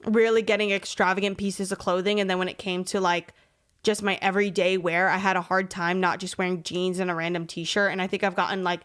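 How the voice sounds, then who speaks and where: A woman's voice: fairly low pitch, flat delivery, a little fast, a bit bright, clear, resonant tone. A young woman hosting a podcast in a casual, slangy, rambling way, peppering her talk with "like". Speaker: podcast host.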